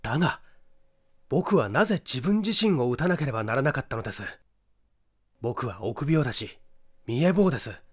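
A man's voice: medium pitch, very animated, normal speed, long pauses, husky, pitched below the performer's own voice. A man voicing a confident, wounded young man in an audiobook, firmly protesting his innocence. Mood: firm denial. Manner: firm, protesting. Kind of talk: dramatic audiobook character acting.

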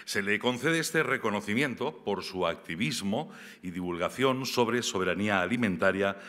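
Bright-voiced male presenter in a theatre. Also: on the low side, lively intonation, moderate pace. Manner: reads from notes, formal. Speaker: awards ceremony presenter. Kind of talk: scripted announcement. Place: large theatre stage. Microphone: podium microphone. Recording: long theatre reverb.

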